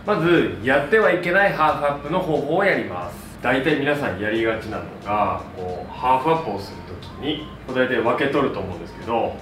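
A man's voice: medium pitch, expressive, fast, hardly any pauses. A man in his thirties, a hairdresser giving an upbeat, friendly, step-by-step tutorial to camera. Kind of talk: instructional hair tutorial to camera. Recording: roomy echo, distant mic, background music. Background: music.

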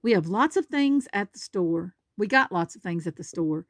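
A woman's voice: medium pitch, animated, normal speed, dry recording, clean studio sound, natural voice. A woman voicing an eager, confident little girl pig in a children's storybook, speaking simply and earnestly.

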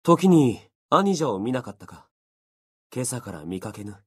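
An earnest, worrying swordsman, mid-pitched and husky. His old-fashioned game-character speech moves in lively swings. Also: unhurried, no echo, studio quality, exaggerated voice. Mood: uneasy. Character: earnest, anxious younger-brother swordsman, stiffly old-fashioned. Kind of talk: Japanese game character voice lines.

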